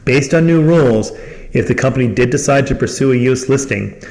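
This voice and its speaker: A man's voice: medium pitch, a little fast, a little mellow, a little hiss or hum, rough recording. Formal man reading a corporate presentation. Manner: formal, reading from prepared text. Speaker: company presenter and call host.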